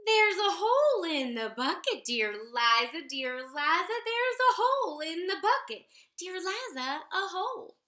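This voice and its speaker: Very bright, animated woman reading aloud. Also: extremely high pitch, a little slow. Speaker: storyteller reading a picture book aloud. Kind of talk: expressive sing-song children's read-aloud. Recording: roomy, echoey sound.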